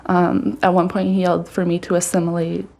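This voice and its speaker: A woman's voice: on the low side, monotone, fairly quick, gravelly, dark tone. A woman in her twenties, interviewed for a news story, speaking casually and matter-of-factly. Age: 20s.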